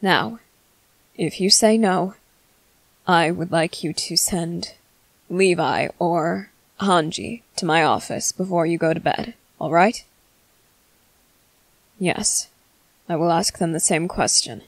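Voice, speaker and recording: A woman playing a courteous, restrained male vampire commander in an ASMR roleplay, speaking in formal, halting, hungry phrases. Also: lowish voice, dry recording. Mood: grave, polite. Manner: formal, halting, measured, apologetic. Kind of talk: scripted ASMR roleplay monologue.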